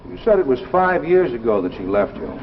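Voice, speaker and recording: A man's voice: mid-range voice, big pitch swings, brisk, natural voice. An actor playing an inquisitive man in a 1950s television drama, speaking in a prompting, questioning manner. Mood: curious. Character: inquisitive male listener questioning a troubled man. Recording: distant, muffled old broadcast, faint hiss.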